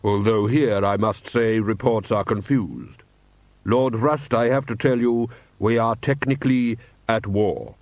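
A man voicing a suave foreign prince and diplomat with formal, measured politeness. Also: fairly low pitch, a little mellow, dry recording, dull audio. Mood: grave, courteous.

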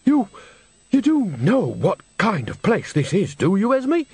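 A man's voice: a little high, big pitch swings, a little slow, breathy edge, no echo, exaggerated voice. A man voicing a blunt, earthy old witch woman. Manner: blunt, exclaiming.

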